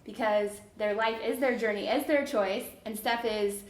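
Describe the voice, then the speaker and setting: A woman's voice: on the high side, fairly even intonation. Upbeat woman podcast host, echoey room. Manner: upbeat, encouraging, drawn-out phrasing. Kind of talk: casual interview.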